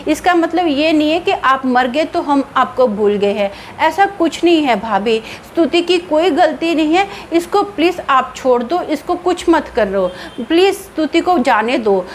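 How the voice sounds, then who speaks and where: A woman's voice: high-pitched, lively intonation, measured pace, hardly any pauses, slight background noise, pitched above the performer's own voice. A woman voicing a young husband who earnestly pleads with a ghost. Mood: pleading.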